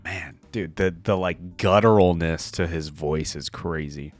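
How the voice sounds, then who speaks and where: A man's voice: sits low, a little slow, faint music behind. A young man hosting a reaction video, giving casual commentary in an informal, slangy way.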